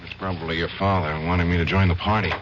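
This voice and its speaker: A man's voice: sits low, steady tone, quick pace, natural voice. A man playing a young trial lawyer with tense outbursts, in a radio drama. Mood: uneasy. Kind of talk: 1970s radio drama acting. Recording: dull lo-fi radio broadcast with faint hiss.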